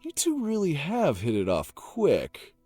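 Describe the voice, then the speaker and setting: A man's voice: on the high side, wildly swooping pitch, a little slow, warm tone, soft background music, heavily acted. A man playing a prying, falsely friendly workplace boss in an audio drama, breezy and probing. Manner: breezy, probing, insincere politeness.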